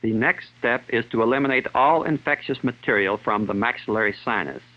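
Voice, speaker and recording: Dull vintage film recording, formal male narrator. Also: medium pitch. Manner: formal, measured, clinical. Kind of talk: scripted instructional narration, 1960s training film. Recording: dull 1960s film soundtrack.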